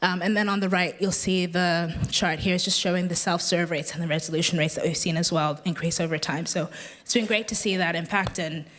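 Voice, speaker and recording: A woman's voice: lowish voice, steady tone, fairly quick, a bit bright. A woman presenting at a business event, explaining things in a conversational way. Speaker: presenter from a software company's customer support side.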